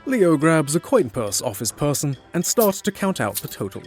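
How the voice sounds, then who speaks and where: A man's voice: on the high side, big pitch swings, normal speed, faint music behind. A man reading scripted narration for a fantasy audio drama.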